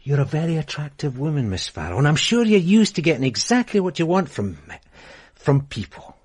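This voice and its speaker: An actor playing a persistent police sergeant, blunt and probing in a radio drama. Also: male voice, on the high side, brisk, breathy edge. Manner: probing, blunt, lively delivery. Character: persistent police sergeant, middle-aged man.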